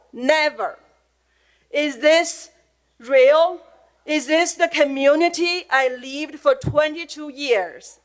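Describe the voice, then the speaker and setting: A middle-aged woman with a Chinese accent addresses a school board from prepared notes. Her voice sits slightly high. She speaks earnestly, with feeling held close to the surface. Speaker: public commenter at a school board meeting. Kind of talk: prepared public comment. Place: public meeting room.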